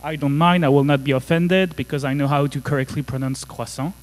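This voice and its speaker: A man from France with a clear French accent talks through a technical topic at a conference. His voice sits a little high, and he jokes casually with plenty of "uh" and "like". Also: fairly even intonation, fairly quick. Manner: casual, joking, filler-heavy. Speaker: conference speaker. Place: conference hall.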